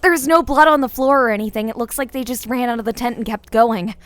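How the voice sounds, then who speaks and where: A woman's voice: a little high, quick pace, dry recording, natural voice. A woman playing a young woman hunted in the woods, reasoning hopefully in an audio drama. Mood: anxious, hopeful.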